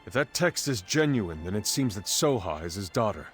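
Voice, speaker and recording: An actor playing an earnest, dutiful palace official in a video game, speaking formally and gravely. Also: male voice, lowish voice, big pitch swings, moderate pace. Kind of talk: video game cutscene voice acting. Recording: music behind the voice.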